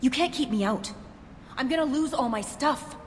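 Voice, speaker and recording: A woman's voice: high voice, moderate pace, close to an everyday voice. An actress playing a desperate, indignant human woman in a video game, pleading and combative. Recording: echoey hall, clear background noise.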